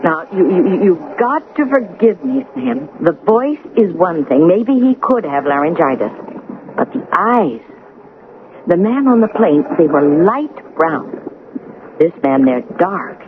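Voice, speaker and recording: A woman's voice: fairly low pitch, sing-song, a little slow. A woman acting in a radio drama as an earnest amateur detective, fretful, urgent and confiding. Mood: urgent, uneasy. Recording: noisy tinny old radio recording.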